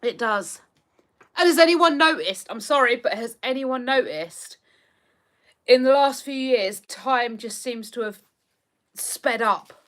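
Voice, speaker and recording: A woman's voice: fairly high pitch, slow pace, a bit bright. An English woman in her thirties hosting a livestream, chatting casually and reacting to viewer comments. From England. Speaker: livestream host.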